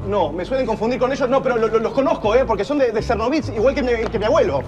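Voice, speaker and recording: An actor with a Rioplatense Argentine accent playing a pushy, flustered agent who stammers and repeats himself in a period drama. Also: male voice, extremely high pitch, very fast, highs cut off, over music. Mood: eager, deferential. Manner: stammering, repeating words insistently. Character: pushy, flustered smooth-talking male agent pitching a singer.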